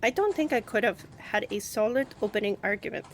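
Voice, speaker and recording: A woman playing a composed, confident young lawyer and boss in an audio roleplay, with a measured, earnest delivery. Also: medium pitch, a little hiss or hum, natural voice.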